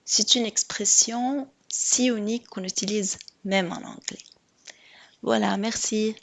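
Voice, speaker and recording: Woman from Montreal, soft thoughtful read, everyday voice. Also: medium pitch, fairly even intonation, takes it slowly, gravelly. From Montreal, Canada. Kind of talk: read-aloud video message.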